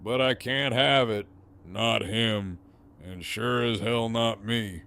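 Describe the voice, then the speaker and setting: An actor playing a menacing, foul-mouthed gang leader in an audio drama, with a taunting, theatrical, drawn-out delivery. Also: male voice, fairly low pitch, bright, forceful tone, smooth, heavily acted. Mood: mocking menace.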